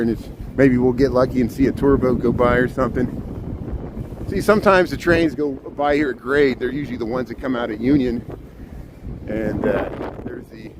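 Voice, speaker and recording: A man with a loud, carrying voice, chatting his way along city streets. He points things out as he walks, relaxed and unscripted, at a mid pitch. Traffic noise sits clearly under his voice. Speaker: live streamer; urban planner. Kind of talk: walking tour live stream.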